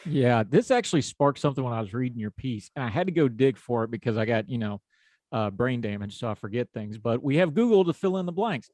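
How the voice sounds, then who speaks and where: A man's voice: medium pitch, lively intonation, quick pace, a little mellow, no echo. A man from West Virginia with an Appalachian accent, talking in a folksy, conversational way as a podcast host. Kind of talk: conversational interview.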